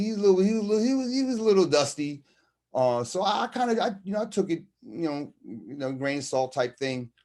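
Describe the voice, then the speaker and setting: A middle-aged man telling a personal story in a casual monologue, halting and rambling, with slangy conversational asides. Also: fairly high pitch, darkish tone. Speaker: storyteller explaining a term from experience.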